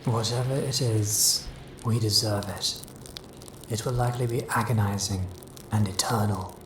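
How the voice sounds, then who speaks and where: A man's voice: on the low side, fairly even intonation, background noise, natural voice. Sardonic grave robber in a roomy space, man acting. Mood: grim, fatalistic. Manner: dry, sardonic, drawn-out delivery. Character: sardonic, fatalistic male grave robber, world-weary and decadent. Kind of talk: gothic horror audio drama acting.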